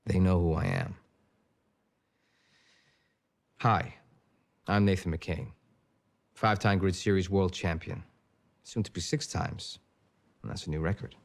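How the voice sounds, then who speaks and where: An actor playing an arrogant, flippant champion racing driver in a mock-documentary game scene, sneering and scornful. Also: male voice, sits low, steady tone, normal speed, vocal fry. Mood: self-satisfied.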